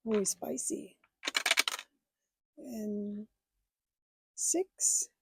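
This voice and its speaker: A woman whose first language is Filipino chats casually with viewers as a budgeting vlogger, speaking in a halting, hesitant way. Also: medium pitch, heavy background noise.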